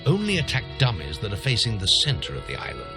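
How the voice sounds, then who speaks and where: Fantasy game mentor: fatherly old master, low-voiced, solemn and instructive, strong music underneath. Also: wildly swooping pitch, quick pace, gravelly, full character voice. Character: aged, fatherly male guild master and storyteller. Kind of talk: scripted fantasy game dialogue and narration.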